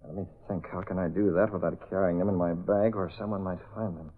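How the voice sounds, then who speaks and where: An actor playing a confident, commanding newspaper boss and secret crimefighter in a 1930s radio drama, giving crisp orders and explanations. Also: male voice, low voice, steady tone, quick pace. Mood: calculating, commanding. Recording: old radio recording, narrow band.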